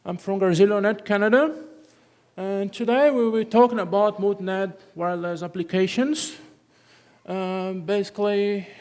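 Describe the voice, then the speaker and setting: A high-voiced man with a Brazilian Portuguese accent gives a technical conference talk. He often breaks off mid-word, restarts, and tags sentences with "okay". His voice carries the long reverb of a conference hall. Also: darkish tone. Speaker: conference presenter.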